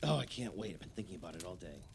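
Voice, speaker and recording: A man's voice: sits low, lively intonation, very fast, a little hiss or hum. An actor playing a chatty, nostalgic man visiting friends in a naturalistic audio play. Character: chatty, nostalgic male guest at a holiday party.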